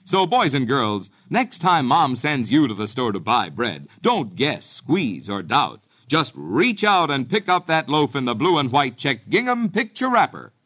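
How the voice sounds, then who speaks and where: A man's voice: medium pitch, big pitch swings, measured pace, few pauses, a bit bright. A man with an American accent delivering a folksy, emphatic old-time radio commercial aimed at children. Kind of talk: 1950s radio ad pitch to children.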